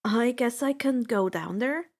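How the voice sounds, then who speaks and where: A woman's voice: a little high, unhurried. Woman playing earnest, wary young hero.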